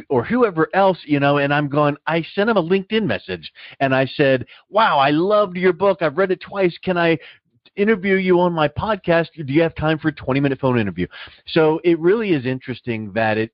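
A man's voice: fairly high pitch, no echo, highs cut off. A middle-aged man hosting a casual interview, self-assured and emphatic, repeating words to stress his points. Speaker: podcast host and interviewer.

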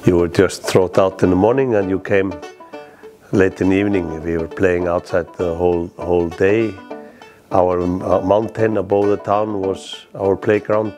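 A middle-aged man from Iceland's East Fjords with an Icelandic accent, reminiscing in halting, plain, non-native English in a documentary interview. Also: low voice, level tone. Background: guitar music.

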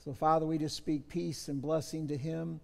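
A man's voice: a little high, level tone, moderate pace, gravelly. A middle-aged man, a pastor, praying earnestly and officiating baptisms in a church. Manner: earnest, gentle prayerful delivery. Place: church baptistry, large sanctuary. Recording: reverberant church sanctuary.

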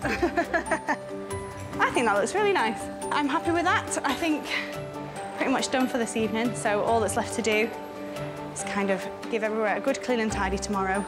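A woman's voice: fairly high pitch, lively intonation, normal speed, pauses often, over loud music. A young woman with an English accent, chatting upbeat and laughing easily while setting up an event at a station. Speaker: railway events organiser. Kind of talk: casual on-location chat. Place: outdoor railway station platform.

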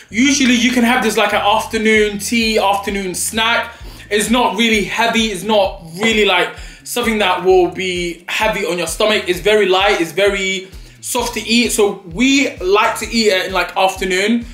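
A man's voice: high-pitched, bright, forceful tone, echoey room. A young man, chatting dramatically and playfully in a food vlog while eating at a table. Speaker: vlogger. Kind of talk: mukbang vlog chat. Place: small indoor studio set at a table.

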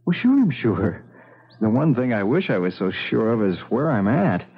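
A man's voice: mid-range voice, sing-song, moderate pace, breathy edge. A man playing an easygoing, practical partner in a 1970s radio drama, casual and colloquial. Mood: reassuring. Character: easygoing, practical modern young husband or boyfriend. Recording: muffled 1970s radio broadcast.

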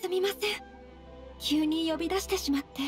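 Game casting: courteous young highborn lady, high-pitched, soft-spoken, very formal, faint music behind. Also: a little fast. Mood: apologetic, explaining. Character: polite, gentle young noblewoman in an anime fantasy game. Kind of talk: Japanese anime game voice acting.

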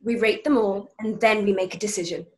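A woman's voice: medium pitch, steady tone, brisk, lightly acted. A young actress playing a confident, bossy eleven-year-old girl, assertive and precise, in a stage play table read on a video call. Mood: assured. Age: young adult. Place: plain room at home, video call.

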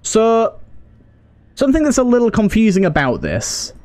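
A man's voice: sits high, wildly swooping pitch, normal speed, darkish tone. A man giving casual let's play commentary, pleading with and exclaiming at the game characters as he talks. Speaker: gaming video host and player.

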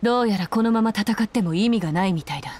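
A woman's voice: medium pitch, fast talker, natural voice. An actress playing a stern, commanding female army general in a Japanese video game, with an imperious, blunt manner. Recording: loud battle noise and ambience behind.

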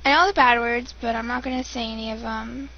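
A woman's voice: fairly high pitch, fairly even intonation, fast, creaky. A young American woman rambling on a webcam, bored and giggly, with many ums. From United States. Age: young adult. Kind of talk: casual webcam vlog. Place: dim room indoors. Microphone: webcam built-in mic. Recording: dull lo-fi webcam audio with faint hiss.

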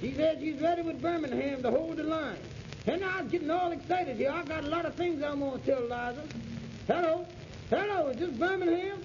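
A man's voice: very high-pitched, fairly quick, muffled recording. An actor playing an anxious, eager, lovesick man in a 1920s comedy phonograph routine. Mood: excited, eager. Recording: noisy, band-limited 1920s phonograph record.

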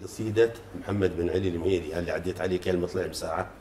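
Middle-aged man, folk poet, quick-talking, reciting verse in an echoey room. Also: low-pitched, fairly even intonation, dark tone. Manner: measured, chanting recitation of verses. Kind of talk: reciting folk poetry in conversation. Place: living room sofa, indoors. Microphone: lapel mic. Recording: roomy echo.

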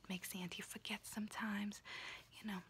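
A woman's voice: mid-range voice, flat delivery, moderate pace, a bit bright, a little hiss or hum, close to an everyday voice. A woman voicing an unhinged, conspiracy-minded auntie doing someone's nails, whispering throughout in an ASMR roleplay and rambling with pet names.

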